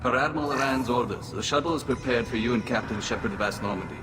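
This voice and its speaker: A man plays a junior alien soldier in a video game, polite and a little awkward. His mid-pitched delivery is hesitant and deferential, set in long reverb with music behind. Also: fast, close to an everyday voice. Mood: apologetic.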